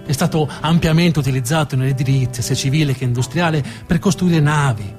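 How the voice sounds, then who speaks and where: A man performing dramatic scripted narration as a theatre storyteller, with a deliberate, emphatic delivery and dramatic pauses. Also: a little high, a little mellow, soft background music.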